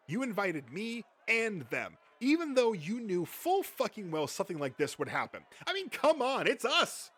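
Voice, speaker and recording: A man's voice: sits high, a bit bright, breathy edge, pitched above the performer's own voice, exaggerated voice. A man voicing a furious, accusing, foul-mouthed nerd in a comic dub, ranting with bitter sarcasm. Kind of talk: amateur comic dub acting.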